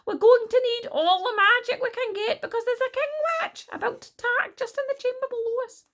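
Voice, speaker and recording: An earnest young storybook princess, voiced by a woman reader. She cries out urgently in a very high, loud voice, pleading to be heard. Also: steady tone, brisk. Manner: urgent, crying out.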